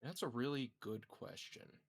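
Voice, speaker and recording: A man's voice: medium pitch, lively intonation, a little slow, creaky, husky, no echo, studio quality. A man chatting casually as a tabletop game player, hesitantly thinking aloud.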